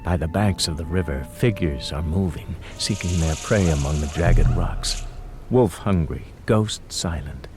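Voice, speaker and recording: Frontier-tale narrator, very low voice, solemn, lyrical, unhurried, music underneath. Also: male voice. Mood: ominous, rising. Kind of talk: scripted dramatic narration.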